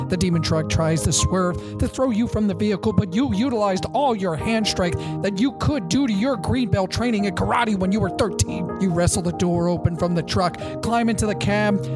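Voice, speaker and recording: Loud guitar music plays under a woman's medium-pitched voice. She narrates a parody radio advertisement as a dramatic story, addressing the listener directly. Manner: dramatic, second-person narration.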